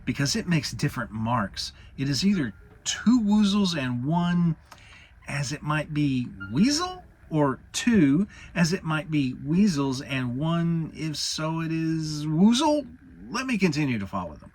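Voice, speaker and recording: A man playing an earnest, slow-thinking, friendly toy bear in a children's storybook, puzzling things out ponderously. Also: a little high, very animated, a little mellow, husky, pitched above the performer's own voice, heavily acted. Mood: earnest, excited. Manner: ponderous, halting, earnest deliberation.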